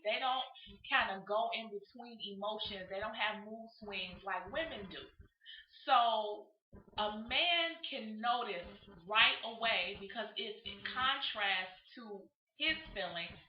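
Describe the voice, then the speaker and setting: A woman giving a motivational relationship advice talk in an emphatic, preachy manner, repeating her points in parallel phrases. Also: on the high side. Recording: muffled echoey room with background noise.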